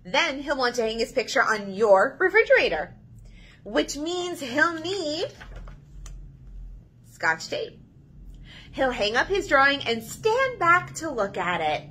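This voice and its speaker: A woman in her thirties leading a children's story time, reading aloud in an animated, sing-song way to young listeners. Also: sits high, slow pace, long pauses. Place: small indoor room.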